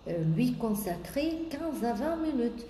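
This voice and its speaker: A woman business coach giving a sales coaching talk, pausing often on drawn-out fillers and listing points in repetition. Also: on the high side, sing-song, dark tone. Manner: hesitant, many drawn-out "euh" fillers, repetitive lists. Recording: echoey room with faint background noise.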